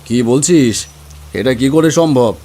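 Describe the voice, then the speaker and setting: A man's voice: medium pitch, slow, background noise, lightly acted. A man voicing a grieving, solemn uncle in a cartoon horror story, sorrowful and disbelieving. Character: grieving, solemn uncle breaking bad news.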